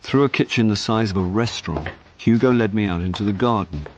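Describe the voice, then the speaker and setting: A man's voice: lowish voice, brisk, a little hiss or hum, lightly acted. An actor playing a wry, world-weary middle-aged actor who narrates his own hard-drinking life with dry self-deprecation in a radio drama. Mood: wry, droll.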